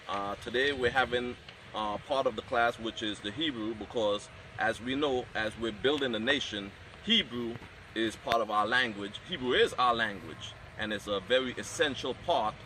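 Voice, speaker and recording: A man teaching a Hebrew class, explaining in a measured way and reading scripture aloud. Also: medium pitch, noisy background. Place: outdoor training camp.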